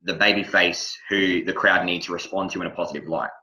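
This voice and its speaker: An Australian man hosting a casual podcast chat, talking loosely and haltingly, circling back over his phrases. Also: low-pitched, steady tone, bright, forceful tone, poor audio. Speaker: podcast host, wrestling manager.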